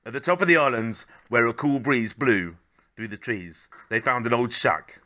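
A man in his thirties narrating a children's story expressively, with measured, gently dramatic phrasing. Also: mid-range voice, highs cut off. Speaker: storybook reader.

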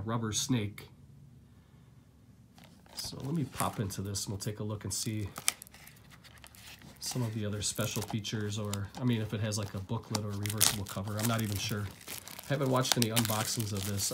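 A man with a lingering cold, casually chatting through a relaxed, rambling unboxing of his new discs, recorded indoors at home. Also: lowish voice, noisy background. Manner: relaxed, rambling, filler-heavy. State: getting over a cold, coughing. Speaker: collector vlogger.

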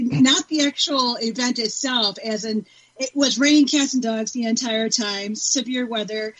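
High, husky female podcast guest. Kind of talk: casual anecdote telling. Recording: dull lo-fi sound.

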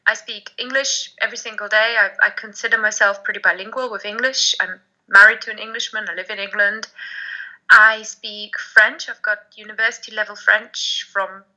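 A woman, chatting as a guest on a video call over a headset, lively and emphatic. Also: medium pitch, moderate pace. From Germany. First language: German. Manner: lively, emphatic, laughs often. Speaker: guest, language blogger and podcaster. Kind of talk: casual video call chat. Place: home room, indoors. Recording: dull lo-fi video call audio.

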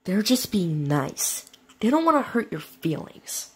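A young woman voicing a sly, mean-spirited cat-like trickster who talks in a smug, drawn-out way. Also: mid-range voice, sing-song, a little mellow, breathy edge, exaggerated voice. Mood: spiteful.

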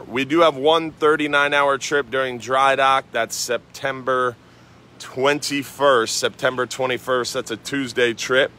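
Bright-voiced American man giving fishing report. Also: mid-range voice. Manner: relaxed, rambling, many "uh" fillers. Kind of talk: casual fishing and weather report. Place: outdoors at a marina at sunrise.

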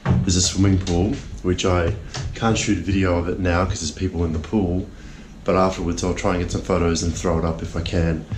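A man's voice: sits low, level tone, brisk, few pauses, noisy background. A man in his thirties chatting casually to camera as a travel vlogger, sounding weary, recorded on a camera held at arm's length. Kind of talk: casual vlog chat to camera. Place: outdoors by a street and in a hotel room. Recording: loud music over the voice.

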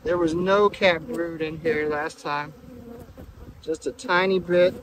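A man's voice: on the high side, low-quality recording. Beekeeper man with a drawl, outdoors. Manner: relaxed, halting, many restarts and fillers. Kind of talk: casual hive inspection vlog. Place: outdoor bee yard, open field. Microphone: camera mic on the beekeeper. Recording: outdoor recording with loud bee buzzing. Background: buzzing bees and wind.

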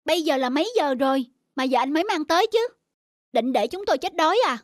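A woman's voice: high-pitched, lively intonation, fast talker, no room sound, studio quality. A woman voicing an irritated female cartoon customer who scolds and complains sharply. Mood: annoyed. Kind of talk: cartoon dubbing. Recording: music under the dialogue.

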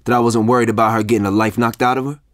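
A man acting as a conflicted, protective husband in an audio play. He has a medium-pitched voice and talks in measured, clipped phrases. The sound is dry, with hardly any room. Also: fairly even intonation, a bit bright, dry recording, natural voice. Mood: indignant. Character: troubled, conflicted husband and expectant father, protective. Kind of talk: naturalistic audio drama acting.

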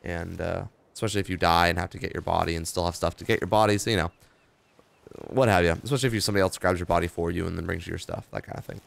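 A tired young man talks with a hoarse, gravelly voice, low in pitch yet smooth underneath. He rambles in an offhand, easygoing way, reading notes aloud and adding his own asides. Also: fairly even intonation, rapid-fire. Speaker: gaming video host. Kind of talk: casual gameplay commentary.

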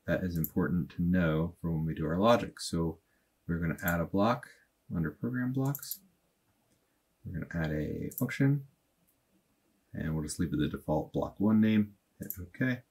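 A man in his thirties at his desk, walking through a software tutorial and thinking aloud in a plain, unhurried way. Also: deep voice, breathy edge. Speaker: automation tutorial host. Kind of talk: casual screen-recorded tutorial. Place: small indoor room at a desk.

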